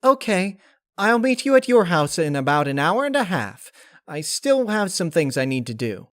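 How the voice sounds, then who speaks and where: A man voicing an excitable female cartoon pony, bubbly and scheming, in an emphatic, eager storybook reading. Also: moderate pace, dry recording, studio quality. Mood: bright eager. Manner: emphatic, eager, high-strung. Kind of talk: dramatic fan-fiction character reading.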